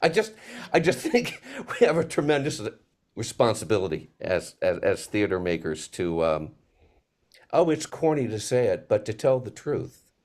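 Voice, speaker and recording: A man in a reflective panel conversation, speaking as a theater maker in a halting, searching, earnest way with pauses. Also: on the low side, very animated, darkish tone.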